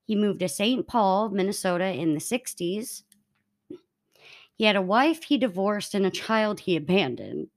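A woman's voice: medium pitch, expressive, a little slow. Woman telling a true-crime case. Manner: reads case notes, stumbles and restarts. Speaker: podcast co-host telling the case. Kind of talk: casual true-crime podcast storytelling.

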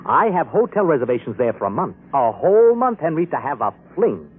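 An actor playing a mild, prim and smugly secretive henpecked man in 1950s radio style, genteel and excitable. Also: on the high side, brisk, husky. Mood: confiding, excited. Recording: noisy, narrow-band vintage radio recording.

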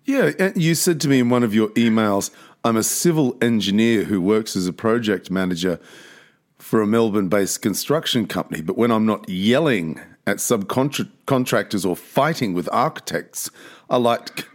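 A man hosting a podcast, talking in a slow, thoughtful, reflective way. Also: fairly low pitch, animated. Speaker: podcast host.

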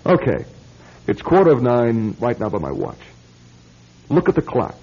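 An actor playing a wry, impatient young bachelor in a classic radio drama. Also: male voice, mid-range voice, huge pitch swings, normal speed, creaky, warm tone, husky, heavily acted. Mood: exasperated, uneasy. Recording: dull, lo-fi old radio recording.

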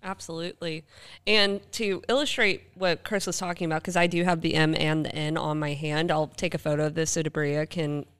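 A woman's voice: low voice, steady tone, fairly quick, clean tone. A woman co-hosting a podcast in casual chat, emphatic and readily agreeing. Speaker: podcast co-host.

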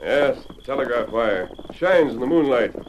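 A man playing a calm, commanding masked hero of the Old West, firm and measured, American accent, in old radio drama style. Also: medium pitch, natural voice. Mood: steady. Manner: firm, measured, formal diction. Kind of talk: old radio western acting. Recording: dull, noisy old radio recording.

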